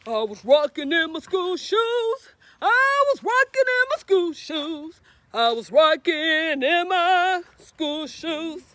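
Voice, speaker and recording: A woman's voice: very high voice, very animated, takes it slowly, a bit bright, dry recording. A woman reading a children's storybook aloud in a sing-song, encouraging way, with emphatic repetition and questions to young listeners. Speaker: read-aloud storyteller, librarian.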